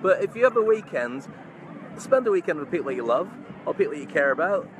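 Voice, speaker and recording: Clear outdoor noise surrounds a middle-aged man with a somewhat high voice. He talks in a chummy, effusive way, as in a casual live stream. The phone is at arm's length in an open, busy park. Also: big pitch swings, fast talker. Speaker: vlogger, entrepreneur and stage hypnotist. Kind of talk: casual chatty live-stream vlog to followers. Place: large open park outdoors among crowds. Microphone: phone held at arm's length. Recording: noisy outdoor night crowd ambience.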